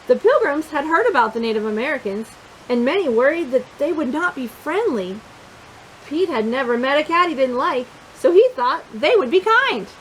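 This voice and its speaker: A woman reading a picture book aloud for young children, expressive and encouraging, pausing to put questions to her listeners. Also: sits high, a little hiss or hum, low-quality recording. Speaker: children's storytime reader.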